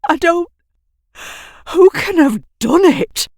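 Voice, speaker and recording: A woman voicing a distraught, fearful old man in an audio drama, halting and overwrought as he speaks. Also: very high-pitched, expressive, gravelly, no echo, studio quality, pitched above the performer's own voice, exaggerated voice. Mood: panicked.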